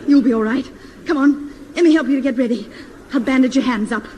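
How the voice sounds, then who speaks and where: A woman's voice: high-pitched, fairly quick, close to an everyday voice. A woman playing a doting, encouraging sweetheart of a boxer in a 1950s radio sitcom. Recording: noisy, reverberant old radio recording.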